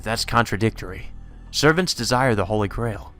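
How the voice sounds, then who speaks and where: A man's voice: on the low side, expressive, moderate pace, sharp tone. A man doing a let's play, reading a visual novel's dialogue and narration aloud. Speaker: let's player. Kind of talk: reading game text aloud. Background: game music.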